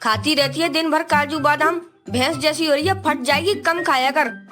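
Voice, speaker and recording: Woman acting as nasty old mother-in-law, high shrill yelling, music going behind. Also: moderate pace, natural voice. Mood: shrieking abuse. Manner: scolding, screaming insults. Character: foul-mouthed, bad-tempered old mother-in-law, always shouting insults. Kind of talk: comedy sketch acting.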